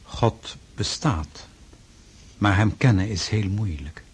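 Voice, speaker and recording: A solemn Russian freemason, with a low, rough-edged husky voice. He preaches in formal, measured phrases, stern and reproachful, in the manner of a radio play. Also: exaggerated voice. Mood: solemn, preaching. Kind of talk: radio drama acting.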